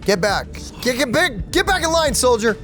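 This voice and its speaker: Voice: sits high, sing-song, normal speed, fairly bright tone. A let's player giving casual, playful, slangy gameplay commentary to a co-player. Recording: game music behind the voice. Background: video game music.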